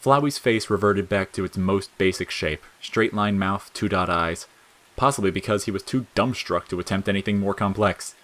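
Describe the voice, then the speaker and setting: Male narrator for audio fiction, low register, scripted storytelling. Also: normal speed, fairly bright tone.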